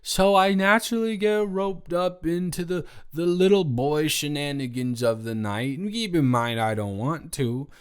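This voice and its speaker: A man's voice: a little high, a little slow, few pauses, smooth, lightly acted. A young man playing a playful drunk college roommate in an intimate audio roleplay, rambling, slurring, joking and repeating himself. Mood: chatty, rambling. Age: young adult.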